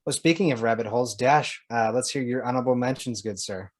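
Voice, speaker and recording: A man with a mid-pitched voice co-hosts a casual podcast. He is chatty and informal. The recording is very dry, free of room echo. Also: fast. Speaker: podcast co-host.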